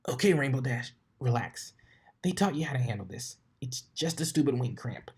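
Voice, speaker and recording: Storybook pegasus mare, male reader: young, brash, cocky, grumbly, voice pushed higher than a man's usual. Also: animated, normal speed, a little mellow, husky. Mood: alarmed. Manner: grumbling, exclamatory.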